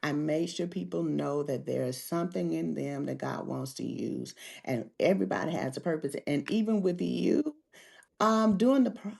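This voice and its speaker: A woman's voice: sits low, gravelly, darkish tone. A woman life coach answering interview questions in an earnest, encouraging way. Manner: earnest, faith-centered encouragement. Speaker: life coach, podcast guest.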